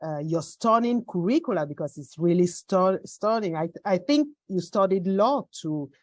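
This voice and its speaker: A woman's voice: fairly low pitch, lively intonation, normal speed, no echo. A woman hosting an interview, speaking hesitantly with many fillers and self-corrections. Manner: hesitant, many fillers and restarts, warm laughs. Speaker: host.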